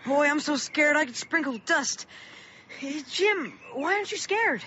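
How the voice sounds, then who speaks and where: A woman's voice: sits high, expressive, measured pace, pushed and bright, dull audio, a little hiss or hum, full character voice. A woman playing an anxious, cautious thirteen-year-old boy in a radio play, breathless and earnest. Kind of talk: radio play acting with narration.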